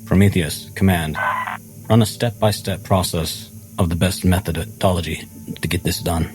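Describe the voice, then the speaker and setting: A man with a very low voice speaks gravely and deliberately in a role-play drama. Music plays faintly underneath. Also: fairly even intonation, a little mellow. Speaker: role-play game player.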